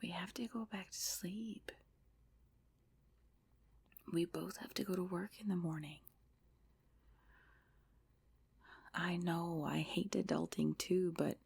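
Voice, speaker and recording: A woman playing an affectionate, sleepy girlfriend in an ASMR roleplay, whispering and murmuring softly with a breathy voice. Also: on the low side, steady tone, normal speed, full character voice. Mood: drowsy, tender.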